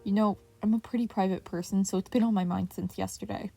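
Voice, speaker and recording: A woman's voice: mid-range voice, steady tone, fast, creaky, warm tone, dry recording, faint music behind. Casting: weary male hero teacher in a story podcast, dry and grumbling played by a woman. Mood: wary grumbling. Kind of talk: audiobook-style fan fiction character reading.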